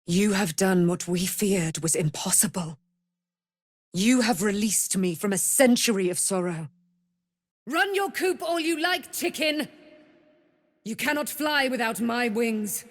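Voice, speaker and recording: An actress playing a proud, wrathful immortal warrior, daughter of a moon goddess, declaiming in grand archaic speech for a fantasy game. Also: female voice, mid-range voice, lively intonation, unhurried, no room sound, clean studio sound. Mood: defiant scorn. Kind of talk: theatrical fantasy game voice acting.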